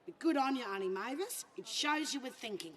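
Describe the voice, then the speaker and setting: Chatty cartoon storyteller, conversational delivery, highish voice. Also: female voice, animated, fairly quick, a bit bright. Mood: chatty, wry. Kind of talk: conversational storytelling in an animated film.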